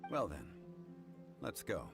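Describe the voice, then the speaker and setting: A composed, dignified consultant from a game speaks in a formal, measured way. His voice sits very deep and low, yet his intonation moves with clear expression. Game music plays steadily behind him. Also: big pitch swings, full character voice. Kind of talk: game voice acting.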